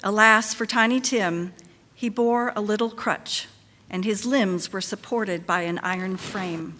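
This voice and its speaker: A woman's voice: medium pitch, a little slow, bright, forceful tone, a little hiss or hum. Woman narrator in a reverberant hall. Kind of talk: dramatic reading of a Victorian tale. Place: live in a church.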